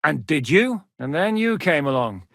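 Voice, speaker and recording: A man's voice: fairly high pitch, big pitch swings, a bit bright, no room sound, studio quality, exaggerated voice. A man voicing a shrewd, commanding medieval Czech mercenary lord in a video game, speaking with blunt authority. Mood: reflective, frank. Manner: authoritative, blunt, swings from measured to barking. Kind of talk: dramatic video game dialogue.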